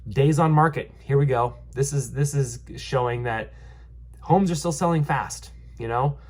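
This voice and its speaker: A young man, nasal and stuffy from a head cold, talks through local housing numbers in a loose, rambling way. He fills gaps with ums and restarts, keeping an upbeat, reassuring tone at an ordinary, mid-range pitch. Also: unhurried, darkish tone. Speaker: realtor giving a market update. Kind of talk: casual vlog market commentary.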